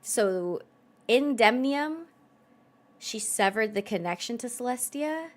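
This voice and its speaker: A woman's voice: a little high, expressive, slow pace. A woman giving casual, reactive game stream commentary in a blunt, sweary, incredulous way.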